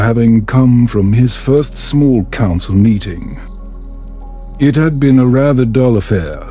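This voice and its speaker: Slow, mellow man narrating a bedtime story. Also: medium pitch. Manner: soft, soothing, measured delivery.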